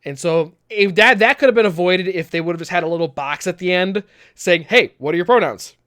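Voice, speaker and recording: Young man, slightly high voice, smooth clear tone, dry close sound, laid-back gaming chat. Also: fairly quick, fairly bright tone, dry recording. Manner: rambling, laughs often, repeats words while restarting. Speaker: podcast host.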